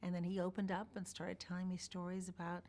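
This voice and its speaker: A woman in an interview, telling personal stories in a measured, thoughtful way with pauses. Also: lowish voice, completely flat delivery, darkish tone. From born in Iran, grew up partly in Chicago. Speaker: interview guest. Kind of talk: reflective personal storytelling.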